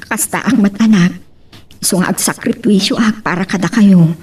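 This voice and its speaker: A woman's voice: mid-range voice, normal speed, darkish tone, slightly rough, recorded from a distance, lightly acted. A woman playing a burdened, self-sacrificing mother in an Ilocano family drama. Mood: firm, sorrowful. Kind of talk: Ilocano family radio-style drama acting.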